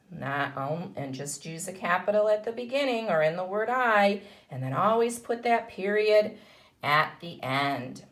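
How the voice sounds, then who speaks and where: A woman teaches kindergarten children in an unhurried way, with a voice that rises and falls in a very animated range. She explains things in simple steps and encourages her young listeners, often putting questions to them. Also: medium pitch. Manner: encouraging, asks questions and answers them herself. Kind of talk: instructional talk to young children.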